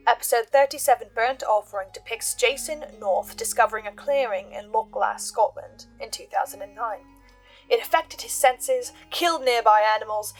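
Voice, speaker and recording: A woman with a fairly high voice presents scripted theory commentary. She speaks intensely and theatrically, leaning on pauses for emphasis. Music plays underneath her. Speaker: host of a reaction and theory channel. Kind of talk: dramatic scripted commentary on a fiction podcast. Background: background music.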